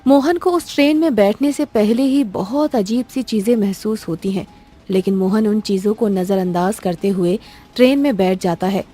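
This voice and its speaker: Mellow woman narrating a scripted story. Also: mid-range voice, normal speed. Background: faint music.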